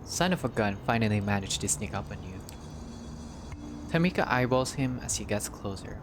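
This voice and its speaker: A man's voice: lowish voice, lively intonation, normal speed, background noise. A man reading a visual novel aloud as a playthrough narrator, in a storyteller-like way with brief casual asides.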